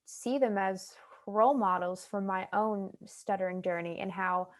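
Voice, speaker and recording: A young woman with an American accent, a guest talking about her own experiences in a relaxed, chatty way with many fillers. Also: mid-range voice, a little slow. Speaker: guest, stuttering advocate. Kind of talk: casual interview chat.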